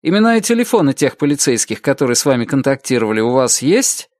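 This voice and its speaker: A man's voice: medium pitch, very animated, fast, a little mellow, dry recording, studio quality, natural voice. A man voicing a courteous, probing police investigator in an audiobook, questioning with confidence. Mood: probing, confident. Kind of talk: audiobook character dialogue.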